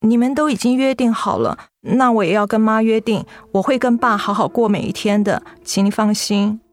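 A woman's voice: on the high side, normal speed, close to an everyday voice. A woman playing a devoted young adult daughter in a radio drama, emotional and plaintive, reluctant to let go. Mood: tearful, grateful. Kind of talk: Mandarin radio drama acting.

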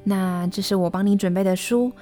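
Gently strict young tutor played by a woman. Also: medium pitch, steady tone, fairly quick, faint music behind, lightly acted. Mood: earnest. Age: young adult. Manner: instructive, reassuring, gently strict. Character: responsible, caring young female Korean tutor. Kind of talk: Mandarin audio drama acting.

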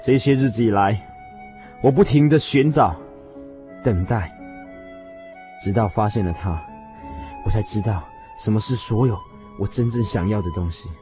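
A man playing an earnest, obsessed husband in a radio drama, moving theatrically between hushed reflection and fervent declaration. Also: fairly low pitch, normal speed, pauses often, soft background music, lightly acted. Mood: reflective. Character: earnest, obsessed husband yearning for a precious pearl.

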